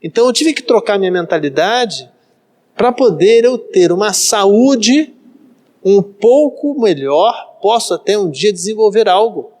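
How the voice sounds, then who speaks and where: High-pitched Brazilian man preaching. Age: 30s. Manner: emphatic repetition of phrases. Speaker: pastor. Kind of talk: sermon, practical life advice.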